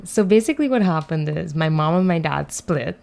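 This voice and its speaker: A woman's voice: deep voice, lively intonation, a little fast, vocal fry, a little mellow. A woman in her twenties from Guyana with a Guyanese accent, chatting easily as an interview guest and laughing often. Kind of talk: casual interview chat.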